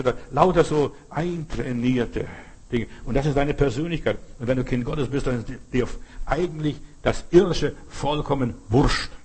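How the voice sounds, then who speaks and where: A male preacher with a southern German accent delivers an informal sermon. His voice is husky and sits at an average pitch. He talks in everyday words, repeats phrases for emphasis and addresses listeners directly with short check-in questions. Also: darkish tone.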